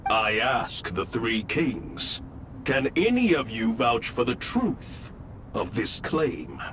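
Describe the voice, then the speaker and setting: An actor playing an ancient mechanical saint in a game, courteous, stately and ceremonious. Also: male voice, medium pitch, sing-song, slow, fairly bright tone, a little hiss or hum. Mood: stern, formal. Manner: stately, formal. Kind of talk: scripted video game cutscene acting. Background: music.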